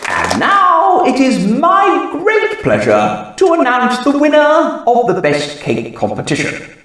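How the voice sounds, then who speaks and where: An actor playing a fussy, theatrical English village vicar in a comic radio drama, flattering and dithering as he goes. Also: very high-pitched, some chatter behind, a little hiss or hum, exaggerated voice. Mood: pompous, cheery. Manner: effusive, dithering, drawn-out delivery. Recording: very echoey, reverberant room.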